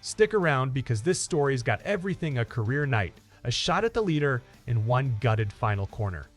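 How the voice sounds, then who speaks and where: A man's voice: medium pitch, big pitch swings, moderate pace. A man narrating scripted motorsport news in an upbeat, emphatic presenter style. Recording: music under the voice. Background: background music.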